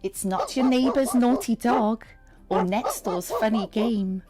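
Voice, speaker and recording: A woman reading a picture book aloud to young children, expressive and speaking straight to her listeners. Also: a little high, a little slow. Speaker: storyteller and teacher reading to children. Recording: music under the voice. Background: music and sound effects.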